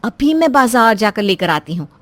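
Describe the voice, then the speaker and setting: A woman's voice: fairly high pitch, sing-song, normal speed, dry recording, pitched above the performer's own voice. A woman voicing a sly young sister-in-law in a moral story, coaxing and falsely sweet as she manipulates.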